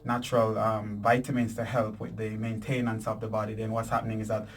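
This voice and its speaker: A man's voice: lowish voice, completely flat delivery, a little fast. A man, chatting as a fitness and wellness guest, with lots of fillers and restarts. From Jamaica. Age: 40s. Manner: rambling, with many fillers and restarts. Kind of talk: casual interview chat. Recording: distant mic.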